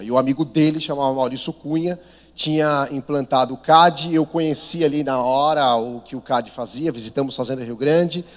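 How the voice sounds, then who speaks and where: A man in his thirties from São Paulo with a Paulista accent, a missionary preaching in a chatty, self-deprecating way. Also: a little high. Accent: Paulista Brazilian Portuguese. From São Paulo, Brazil. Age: 30s. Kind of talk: conversational sermon storytelling. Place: large church hall. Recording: long reverb of a church hall.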